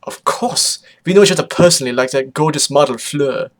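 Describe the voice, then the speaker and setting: A man's voice: on the high side, a little fast, close to an everyday voice. A man voicing a flamboyant vampire pony mother with a grand, emphatic manner and a foreign accent, in a story reading. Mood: excited. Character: flamboyant vampire pony mother, female, theatrical fashion celebrity.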